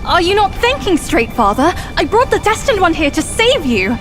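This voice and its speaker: A young demon princess of a fantasy game, coaxes earnestly in a high voice. Her pitch moves in lively, expressive swings. Loud music plays behind her. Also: moderate pace. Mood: pleading. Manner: coaxing, expressive. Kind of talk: video game voice acting.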